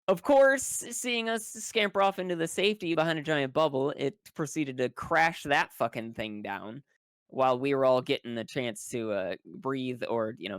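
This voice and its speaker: The speaker has a mid-pitched voice in a dry recording with no room sound. They recap game events casually and haltingly, in wry, colloquial language peppered with swearing. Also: dry recording. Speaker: tabletop role-playing game player. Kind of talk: casual, rambling spoken story recap.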